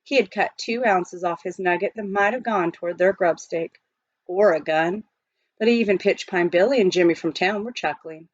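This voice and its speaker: A woman reading a story aloud as scripted, expressive storybook narration, in slightly muffled audio. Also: lowish voice, moderate pace, no echo. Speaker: audiobook reader.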